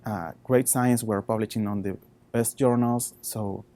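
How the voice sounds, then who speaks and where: A man in his thirties with a Chilean Spanish accent, a researcher talking informally about his work in halting non-native English. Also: fairly low pitch, steady tone, warm tone. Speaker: postdoctoral researcher.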